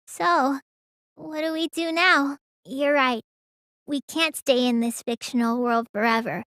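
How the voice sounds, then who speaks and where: Game dub role: childlike teenage girl magician, lazy and gullible, whiny drawl, slow delivery, very dry close sound. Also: high voice, steady tone, fairly bright tone, dry recording. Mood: subdued, serious. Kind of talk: English video game dub voice acting.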